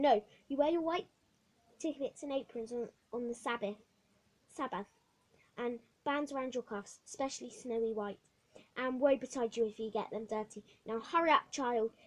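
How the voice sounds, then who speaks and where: A woman's voice: sits high, normal speed, a little mellow. Woman doing a stern scolding matron. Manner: scolding, commanding. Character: stern bossy orphanage matron, scornful woman.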